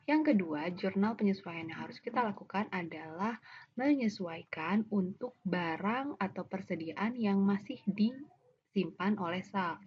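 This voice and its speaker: Woman, Indonesian accent, slightly low pitch, didactic lecturer style, explanatory question-and-answer delivery, noisy lo-fi recording. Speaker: accounting lecturer. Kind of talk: explanatory lesson, walking through worked examples. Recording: dull lo-fi audio with clear background noise.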